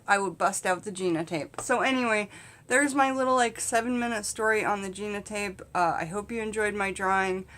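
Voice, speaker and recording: A middle-aged woman telling a casual comedic story to camera in an animated, candid, conversational way. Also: medium pitch. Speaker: vlog host and storyteller. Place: small indoor space in front of a curtain.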